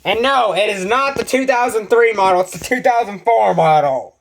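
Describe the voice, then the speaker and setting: A woman's voice: mid-range voice, expressive, normal speed, low-quality recording. A woman giving a casual, sweary show-and-tell as host of a collection video, blunt and easily irritated.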